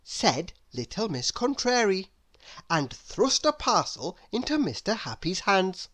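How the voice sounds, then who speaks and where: A woman reading a children's story aloud with deliberate, expressive narration. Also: medium pitch. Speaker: storybook reader.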